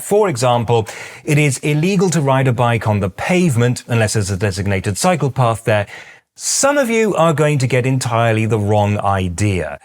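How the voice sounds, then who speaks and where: Lively British man explaining wryly. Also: mid-range voice. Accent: British English. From Britain. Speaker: YouTube host. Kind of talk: scripted explainer narration.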